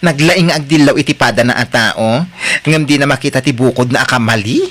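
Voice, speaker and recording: Man acting a chatty, expressive Ilocano office friend. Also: medium pitch, fairly quick, natural voice. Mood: commiserating. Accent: Filipino, Ilocano. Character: chatty, nosy, supportive male office friend. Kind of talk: Ilocano audio drama acting.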